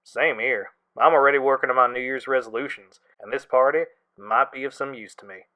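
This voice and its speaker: A man playing a wry, exasperated but affectionate young friend in an audio drama, talking casually with a gently complaining manner. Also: mid-range voice, fairly quick, lightly acted, poor audio.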